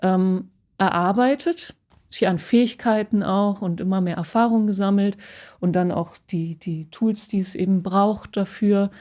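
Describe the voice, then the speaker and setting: A woman's voice: mid-range voice, measured pace, warm tone. A woman musician talking casually about her own experiences in an interview, reflective and searching for her words. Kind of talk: casual personal interview talk.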